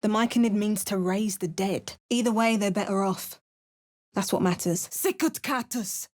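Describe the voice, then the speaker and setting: A woman's voice: mid-range voice, normal speed, a little mellow, natural voice. A woman voicing a wry, dramatic female adventurer hero in an exaggerated mock Cockney accent, with hammy, theatrical spell chants. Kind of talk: parody game voice lines.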